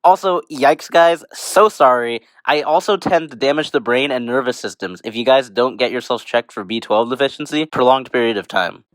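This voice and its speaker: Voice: medium pitch, normal speed, hardly any pauses, dry recording, close to an everyday voice. An actor playing a cocky male vitamin character in a comic educational skit, talking in a smug, casual, sarcastic way.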